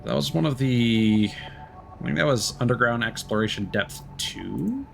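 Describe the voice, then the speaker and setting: A man's voice: mid-range voice, lively intonation, moderate pace, vocal fry, a little hiss or hum. A man giving casual video game commentary as a streamer, talking in a reflective, meandering and hesitant way. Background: background music.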